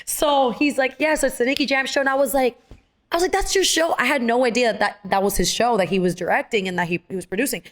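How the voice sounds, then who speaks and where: A young woman, a guest actress, telling a story in casual conversation and acting out the quoted dialogue. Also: a little high. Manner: quick, animated storytelling that acts out quoted dialogue.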